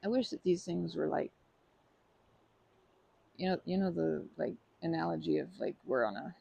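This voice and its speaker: A woman playing a timid, kind young woman in a comforting ASMR roleplay, with a creaky voice and a hesitant, stammering, apologetic way of talking. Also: low voice, animated, normal speed, mellow, exaggerated voice. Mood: wistful, earnest.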